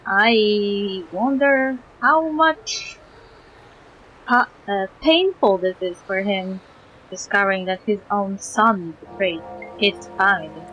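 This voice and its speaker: A woman streamer giving casual live game commentary, musing and halting as she thinks aloud. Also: mid-range voice, fairly bright tone, breathy edge, muffled recording.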